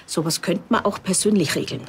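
An actress playing a grumbling small-town housewife with a Bavarian accent, colloquial and exasperated, in a screen drama. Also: female voice, lowish voice, fairly quick, creaky. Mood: annoyed.

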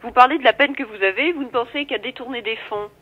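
A woman's voice: fairly high pitch, fast talker, husky. A woman working as an office receptionist, explaining firmly and protestingly to a caller over a narrow telephone line.

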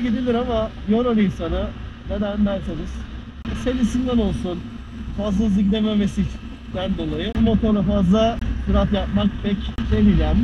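A man chatting casually as he rides a scooter through city traffic, explaining the bike as he goes. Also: sits high. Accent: Istanbul Turkish. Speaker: motovlogger. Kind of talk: casual riding commentary. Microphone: helmet-mounted action camera. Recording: muffled action-camera audio with faint traffic noise.

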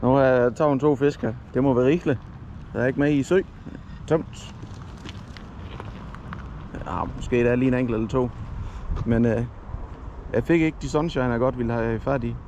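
A man's voice: medium pitch, pauses often, darkish tone, muffled recording, a little hiss or hum. A man narrating his own fishing trip in a chatty, rambling way, recorded on a head-mounted camera outdoors at a lakeside. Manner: chatty, self-correcting, rambling. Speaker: fishing vlogger. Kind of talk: casual fishing vlog narration. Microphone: head-mounted action camera.

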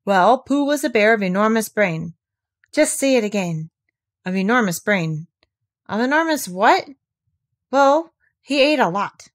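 A woman's voice: mid-range voice, animated, a little slow, long pauses, lightly acted. A woman voicing a simple, anxious, hopeful male toy bear in a storybook, earnest and singsong.

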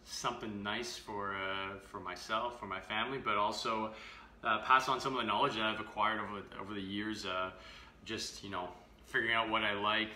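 A man in his thirties walks through a recipe in a relaxed live-stream style, full of "uh" and "you know." His voice sits fairly low yet sounds bright and forceful. The home kitchen around him leaves a roomy echo on a camera mic set a few feet back. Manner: chatty, filler-heavy, upbeat. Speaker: fitness vlogger. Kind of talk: casual live-stream demo. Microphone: camera mic from a few feet away.

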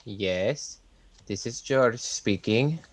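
A man's voice: mid-range voice, lively intonation, extremely slow, dry recording. A man playing a cold-blooded hired killer in a radio thriller, terse and casually businesslike. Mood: businesslike, callous. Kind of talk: old-time radio thriller acting.

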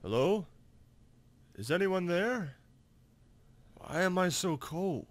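A man voicing a sad, lonely ghost with slow, drawn-out, plaintive questions. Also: high voice, animated, dry recording, voice pushed up. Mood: plaintive, frightened.

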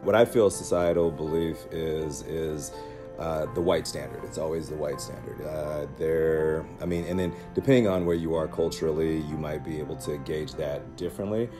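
A man around forty from Seattle, answering interview questions with many pauses and restarts. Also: rumbling low, music in the background. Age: late 30s to mid 40s. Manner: hesitant, many fillers and restarts. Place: indoor storage room with swim gear.

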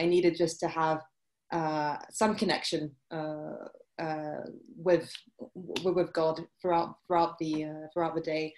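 A woman in her forties with a British accent, answering interview questions over a video call, halting with many restarts. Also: lowish voice. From UK and France. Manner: hesitant, many restarts and fillers. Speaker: guest, journalist. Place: indoor room, video call. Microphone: laptop webcam mic.

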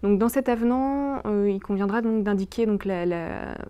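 A young adult woman, explaining slides in a webinar in a hesitant, halting way. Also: medium pitch, warm tone, clear, resonant tone. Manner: hesitant, halting delivery with frequent pauses. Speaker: presenter explaining labour agreement changes. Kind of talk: webinar slide walkthrough, semi-improvised explanation.

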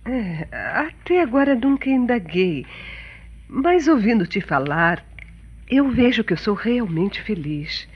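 A contented, warm-hearted Brazilian wife in a radio soap. Her husky voice moves expressively, on a slightly dull recording. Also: on the high side, sing-song, slow pace, heavily acted. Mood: earnest, tender. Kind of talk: radio soap opera acting.

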